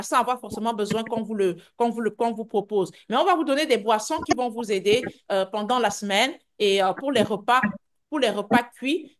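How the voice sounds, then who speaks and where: A woman coach answering questions on a group video call, often repeating words and restarting her sentences as she reassures. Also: fairly high pitch. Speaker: health and weight-loss coach.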